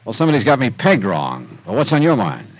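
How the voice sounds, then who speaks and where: Wry American radio detective, lively pitch. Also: male voice, fast talker, husky, exaggerated voice. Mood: brisk, wry. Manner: clipped, wry, hard-boiled delivery. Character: wry, brisk freelance insurance investigator, adult man. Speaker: radio actor playing the lead detective. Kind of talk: old-time radio detective drama acting and narration. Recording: old radio recording with music.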